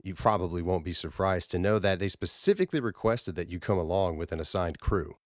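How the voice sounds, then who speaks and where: A man's voice: low voice, brisk, no echo, studio quality, natural voice. A man voicing a worried, frustrated male colleague on a space station in a science-fiction audiobook, earnest and urgent. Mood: concerned.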